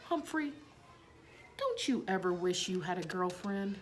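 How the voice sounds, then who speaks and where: A woman's voice: medium pitch, sing-song, a little slow. A woman voicing an affectionate, chatty, lonely male night cleaner in a children's storybook. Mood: wistful. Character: friendly lonely man, night cleaner fond of a hamster.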